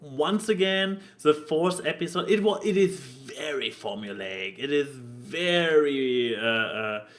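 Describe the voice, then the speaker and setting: A man co-hosting a podcast, talking casually and opinionatedly about cartoons in a halting way, repeating words as he makes his point. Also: medium pitch, animated, fairly bright tone. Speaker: podcast co-host.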